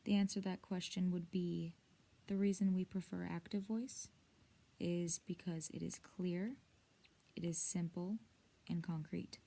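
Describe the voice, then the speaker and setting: Female lesson presenter, soft mellow tone, slow measured delivery, clear instructional read. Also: lowish voice, fairly even intonation. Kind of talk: scripted instructional narration.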